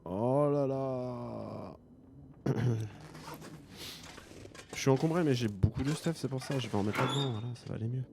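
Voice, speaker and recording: A man's voice: medium pitch, moderate pace, creaky, pauses often, noisy background. A man with a French accent commenting a game he plays, joking and thinking aloud. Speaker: gaming streamer. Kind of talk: let's play commentary.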